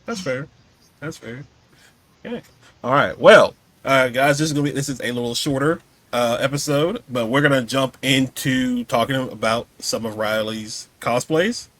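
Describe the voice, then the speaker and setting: A man's voice: medium pitch, normal speed, fairly bright tone, no echo. A man hosting a casual podcast interview in an easygoing conversational style. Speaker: podcast host.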